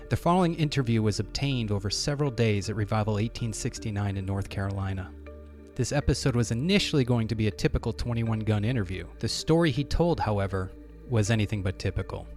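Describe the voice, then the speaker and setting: A man's voice: on the low side, a little mellow. A man reading a scripted introduction as a podcast host.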